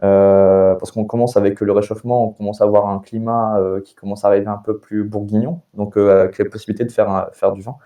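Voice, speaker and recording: A man's voice: on the low side, little pitch movement, fast talker, few pauses, dark tone. A young man, a bartender and sommelier, chatting casually on a podcast, with lots of hesitations and repeated words.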